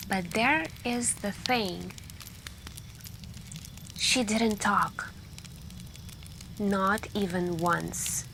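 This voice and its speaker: A soft-spoken woman telling scary stories in an ASMR style, hushed and intimate with pauses and drifts into whispering. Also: fairly low pitch, gravelly. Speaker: ASMR roleplay performer playing a friend telling campfire stories. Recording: steady background ambience noise. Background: crackling campfire and night ambience.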